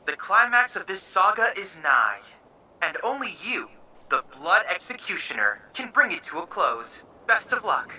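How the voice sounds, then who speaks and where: An actress playing a clever, formal analyst in a video game, speaking in precise, wordy, grandiose phrases. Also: female voice, fairly low pitch, big pitch swings, normal speed, pushed and bright, exaggerated voice. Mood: grandiose, eager. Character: clever, formal analyst heralding a saga's climax. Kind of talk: video game cutscene acting. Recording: distant, with faint background noise.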